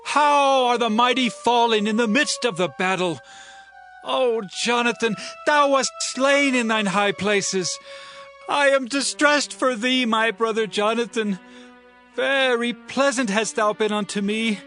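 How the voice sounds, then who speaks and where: A man's voice: very high-pitched, unhurried, sharp tone, full character voice. An actor playing King David, a grieving warrior-king, speaking in a solemn, lamenting way in a dramatized scripture reading. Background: faint background music.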